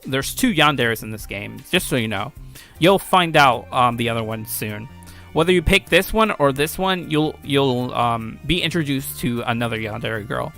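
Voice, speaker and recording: A man with a bright, forceful voice at a middling pitch talks casually as a game creator showing his work. He rambles and corrects himself, with faint music behind him. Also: creaky. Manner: rambling, informal, self-correcting. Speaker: game creator and video host.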